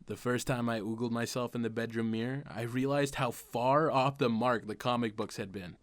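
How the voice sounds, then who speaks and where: A man's voice: mid-range voice, steady tone, moderate pace, natural voice. A man playing an earnest, wry teenage comic fan turned amateur superhero, narrating a comic dub in a confessional way. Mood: wry, reflective. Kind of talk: comic dub, first-person narration and dialogue.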